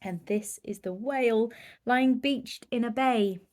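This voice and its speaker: A woman's voice: a little high, slow pace, a little mellow. A woman reading a children's picture book aloud with dramatic, emphatic storytelling. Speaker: volunteer story reader.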